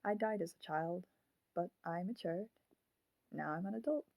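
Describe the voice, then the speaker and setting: A woman voicing the grown-up ghost of a murdered child in a puppet, speaking haltingly and solemnly in an audio drama. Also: lowish voice, gravelly, dark tone, no room sound. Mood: subdued, reflective.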